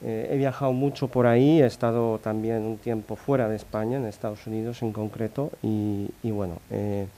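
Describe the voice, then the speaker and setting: A man's voice: on the low side, level tone, moderate pace, hardly any pauses, warm tone. Italian-accented man giving a conference talk. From Italy. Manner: hesitant, many fillers and restarts. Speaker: conference speaker.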